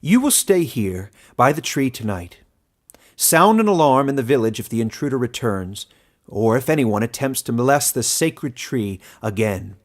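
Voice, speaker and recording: A man's voice: mid-range voice, very animated, normal speed. A man performs an aged village ruler in a fantasy storybook. He is commanding and scornful, speaking in curt orders with little patience for those beneath him. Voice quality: broken edge in the voice. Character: stern, impatient old male village elder, contemptuous ruler.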